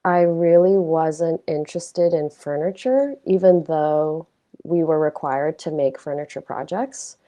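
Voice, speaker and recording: A woman's voice: low-pitched, a little slow, warm tone. A young woman from Hong Kong, a guest artist talking casually and reflectively about her work, poking fun at herself along the way. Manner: reflective, self-deprecating, loose with fillers. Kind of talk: casual conversational interview.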